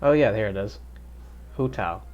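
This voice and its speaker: A man's voice: lowish voice, expressive, normal speed, darkish tone, muffled recording. A man streaming a video game live, chatting casually and reacting aloud with laughter. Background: faint game music.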